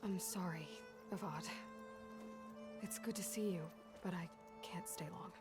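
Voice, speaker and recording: Actress for game heroine: young, determined, reserved, lower register, earnest delivery. Clear music underneath. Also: female voice, unhurried, close to an everyday voice. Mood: resolute. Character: determined, reserved young female champion and adventurer. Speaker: voice actor in a video game. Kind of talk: dramatic video game dialogue.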